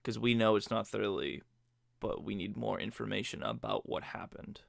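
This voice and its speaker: A man playing a tabletop game over a video call, planning the next move in a low-key way and thinking aloud. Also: expressive, normal speed, vocal fry, no echo, studio quality. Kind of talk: casual planning chat between players.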